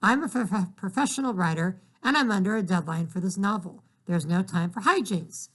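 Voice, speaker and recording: A man's voice: high-pitched, normal speed, fairly bright tone. A man reading as a stern, impatient mother. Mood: scolding. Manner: scolding, impatient. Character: stern, absent-minded mother, a writer.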